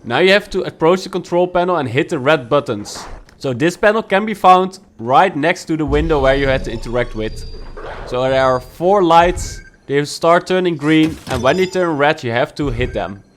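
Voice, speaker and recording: A man's voice: fairly high pitch, normal speed, a little hiss or hum. Man explaining game challenges. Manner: steady, instructive explanations. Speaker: gaming guide youtuber. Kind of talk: game walkthrough commentary.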